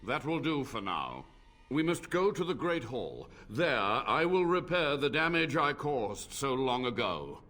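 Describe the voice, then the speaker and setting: An actor playing an ancient, unrepentant blood mage in a fantasy video game, speaking in a grave, formal, theatrical way. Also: male voice, mid-range voice, sing-song, a little slow, fairly bright tone. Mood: resolute, solemn.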